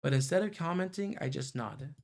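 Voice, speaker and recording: A male streamer reads visual novel narration aloud in a fairly high voice with a gravelly fry to it. He slips easily between story reading and casual comments. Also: animated, brisk, darkish tone.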